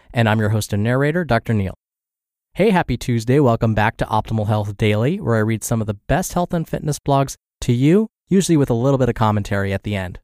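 A man hosting a podcast, reading in a measured, explanatory narration with a slightly congested, scratchy voice from a cold. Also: mid-range voice, a little mellow, no echo, studio quality. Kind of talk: scripted podcast narration, reading an article aloud.

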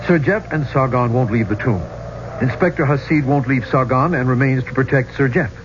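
A man's voice: medium pitch, moderate pace, lightly acted. An actor playing a brash, insistent younger man in a 1970s radio drama, talking in a blunt, persuasive way. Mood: urgent. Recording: muffled, noisy old radio recording.

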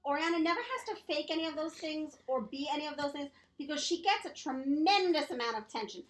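A woman's voice: high-pitched, animated, fairly quick, reverberant. A woman co-hosting a podcast, talking emphatically while getting over a cold and coughing. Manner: emphatic, motherly advice-giving. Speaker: podcast co-host. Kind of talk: casual chat.

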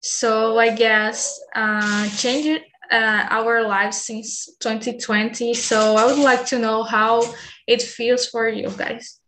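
Slow-talking young Brazilian woman. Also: on the high side, level tone, a bit bright, a little hiss or hum, rough recording. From Bahia, Brazil. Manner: hesitant, many ums. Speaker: conversation group host. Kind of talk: casual online English practice chat.

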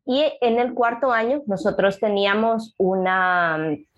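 A woman's voice: mid-range voice, unhurried, dull audio. A woman from Guatemala with a Guatemalan accent, a panel guest telling her story unhurriedly with many fillers. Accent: Guatemalan Spanish.

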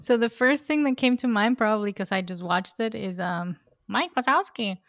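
A woman's voice: on the high side, lively intonation, normal speed, fairly bright tone, no echo, studio quality. A woman co-hosting a casual podcast, chatty and laughing often. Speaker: podcast co-host.

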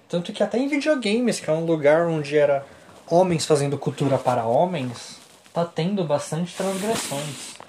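A man's voice: fairly high pitch, dark tone, roomy sound. A man with a Brazilian Portuguese accent, co-hosting a casual podcast chat in a slangy, rambling way with frequent restarts. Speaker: podcast co-host.

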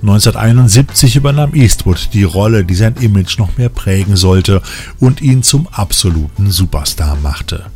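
A man's voice: fairly low pitch, expressive, moderate pace, darkish tone. A man reading scripted narration as a podcast host. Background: background music.

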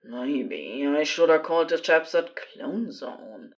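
A woman's voice: deep voice, lively intonation, takes it slowly, dull audio, full character voice. A woman voicing an agitated, embarrassed man on a helpline call in a story, curt and hesitant under strain.

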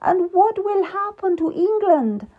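A woman voicing a dignified, wronged middle-aged queen, speaking slowly and formally in a raised, strained tone. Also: high voice, sing-song, phone-quality sound, voice pushed up, exaggerated voice. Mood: grieving. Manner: slow, measured and formal. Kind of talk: audiobook character reading.

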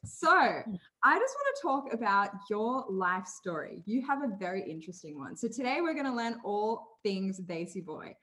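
An excitable woman hosts a casual podcast interview over a video call. She laughs often and her pitch swings widely as she talks. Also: moderate pace. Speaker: podcast host.

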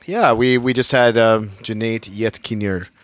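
A man hosting a podcast, introducing a guest in a loose, halting way with many ums and restarts. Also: lowish voice, a bit bright, no room sound. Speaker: podcast host. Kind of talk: casual podcast chat.

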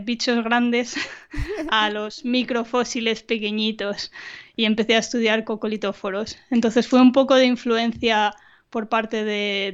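A woman's voice: mid-range voice, clean tone, muffled recording. A woman scientist being interviewed on a podcast over a video call, speaking thoughtfully with frequent fillers and restarts. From Argentina. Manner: thoughtful, many fillers and restarts, light laughs. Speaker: guest scientist. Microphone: remote video call.

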